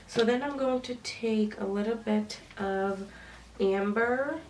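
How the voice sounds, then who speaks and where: A woman's voice: fairly high pitch, takes it slowly. Nasal young woman chatting through makeup. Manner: chatty, with frequent ums. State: nasal from a cold. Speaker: beauty vlogger. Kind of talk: casual makeup tutorial talk. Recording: slightly distant with faint noise.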